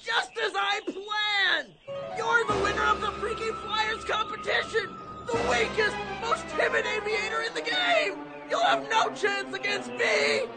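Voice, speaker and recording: Game alien villain: piercingly high, bombastic, whiny schemer, music behind. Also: unhurried. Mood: gloating. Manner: bombastic, whiny theatrical bluster.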